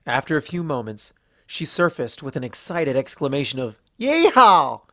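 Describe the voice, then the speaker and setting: A man reading scripted fan fiction as narrator, telling the story in an expressive way. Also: mid-range voice, normal speed, no echo, clean studio sound.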